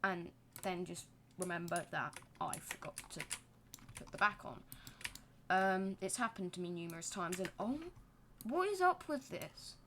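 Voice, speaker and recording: A woman's voice: on the low side, expressive, a little slow, fairly bright tone, a little hiss or hum. A young woman giving casual, self-deprecating commentary while building in a game, with rambling joking asides. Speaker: gaming channel host.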